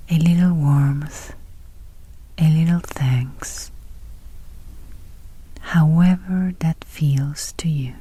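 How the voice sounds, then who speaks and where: A woman guiding a meditation in a soft, breathy near-whisper, speaking gently and soothingly. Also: low-pitched. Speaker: meditation guide. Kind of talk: guided meditation.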